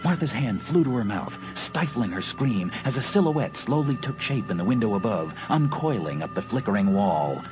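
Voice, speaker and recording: A man's voice: fairly low pitch, sing-song, moderate pace, few pauses. A man reading scripted narration for a dramatised suspense audiobook. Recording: music under the voice.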